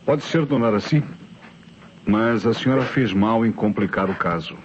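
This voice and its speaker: An actor with a Brazilian accent playing an authoritative police inspector, measured and declamatory in old radio drama style. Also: male voice, fairly low pitch, close to an everyday voice. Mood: grave, sober. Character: authoritative police inspector calmly explaining the crime. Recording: dull, noisy old radio recording.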